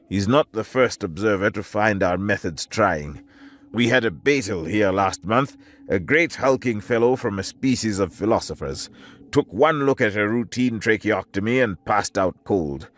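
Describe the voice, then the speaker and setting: A weary army field surgeon, voiced by a male narrator in an audiobook, speaking in a low, flat, cold voice that sharpens into a vicious hiss when provoked. The voice is fairly deep. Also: measured pace. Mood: dry, weary.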